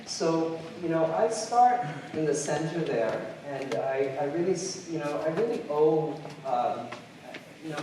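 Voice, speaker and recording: A middle-aged man from Trinidad and Tobago giving a conference keynote, halting often with fillers and restarts. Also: fairly high pitch, noisy background. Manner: hesitant, many fillers and restarts. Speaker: keynote speaker. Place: large lecture hall. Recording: distant mic in an echoey hall.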